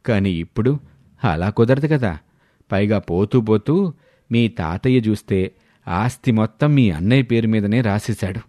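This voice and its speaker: A man's voice: lowish voice, lively intonation, moderate pace, warm tone, no echo, voice pushed down, close to an everyday voice. A man voicing a stern, indignant father of grown children in a family story. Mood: resentful.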